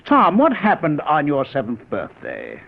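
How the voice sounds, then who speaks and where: A man's voice: on the high side, wildly swooping pitch, unhurried, creaky, full character voice. An actor playing an eager, confident male professor who explains his inventions theatrically in a 1950s radio drama. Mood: amused, lecturing. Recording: phone-like old radio broadcast recording.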